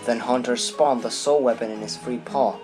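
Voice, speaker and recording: A man's voice: mid-range voice, steady tone, normal speed, music in the background, natural voice. A man playing a mild, polite elderly albino fox spirit in an audio drama. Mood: earnest.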